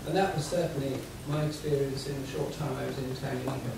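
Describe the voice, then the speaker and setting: A man's voice: mid-range voice, steady tone, fast, vocal fry. A man making an earnest comment from the floor of a seminar, repeating himself. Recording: echoey hall, distant mic, background noise.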